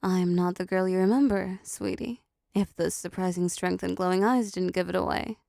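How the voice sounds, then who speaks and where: A woman playing a seductive, possessive female demon in an intimate roleplay audio drama, talking slowly and teasingly to the listener with condescending endearments. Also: lowish voice, fairly even intonation, gravelly, warm tone, dry recording, studio quality. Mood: seductive, soothing.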